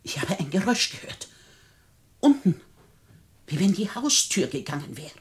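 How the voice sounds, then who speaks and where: A woman's voice: medium pitch, big pitch swings, moderate pace, lightly acted. An actress playing an imperious, teasing, bedridden old rich lady in a radio play, sardonic and commanding. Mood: grave, uneasy. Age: older. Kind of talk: radio play acting in dialogue.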